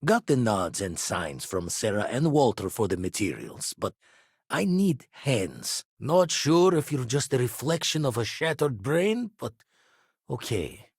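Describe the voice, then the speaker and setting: Game role: seasoned ex-pirate space explorer, gruff and wry, rough husky tone, very animated inflection, slangy turns of phrase. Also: male voice, mid-range voice, unhurried, no echo, clean studio sound, exaggerated voice. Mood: businesslike. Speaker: video game voice actor. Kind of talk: scripted game character dialogue.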